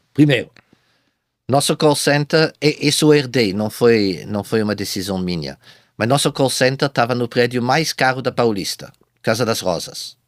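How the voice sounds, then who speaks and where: A man speaking Portuguese as a second language, a guest telling his business story in a halting way, with many repeated false starts. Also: mid-range voice, animated, no room sound. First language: not Portuguese. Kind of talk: casual interview storytelling.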